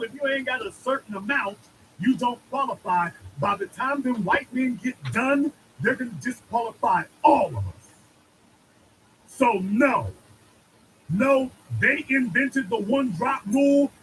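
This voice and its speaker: A man's voice: extremely high pitch, fairly bright tone, a little hiss or hum, rough recording. A man giving an emphatic political monologue, preaching and repeating lines for effect. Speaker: commentator.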